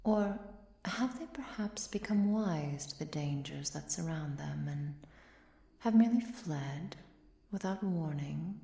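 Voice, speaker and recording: Woman as a low, slow, whispery vampire countess. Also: expressive, echoey room, exaggerated voice. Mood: teasing, silky. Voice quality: soft, breathy, often dropping to a whisper. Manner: measured, formal, archaic diction. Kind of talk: ASMR roleplay acting.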